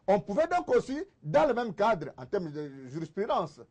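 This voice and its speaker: A man's voice: high voice, very animated, moderate pace, a little mellow. A man with a Congolese accent arguing insistently in a political TV debate, repeating phrases for emphasis. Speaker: debate panelist, political commentator.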